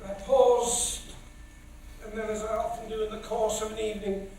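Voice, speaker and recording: An actor playing an anxious, haunted older gentleman in a stage drama, stiff, formal, earnest and hesitant in his speech. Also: sits high, flat delivery, moderate pace, creaky. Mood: stiff reciting. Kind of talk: theatrical stage acting. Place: theatre stage in live production. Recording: echoey theatre stage, distant mic, faint hiss.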